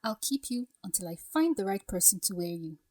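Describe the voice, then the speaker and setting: A woman playing a troubled young bride-to-be in an audio drama, speaking softly in a hushed, wistful way. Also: medium pitch, big pitch swings, brisk, dry recording, studio quality. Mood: wistful, torn. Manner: soft, breathy, hushed delivery.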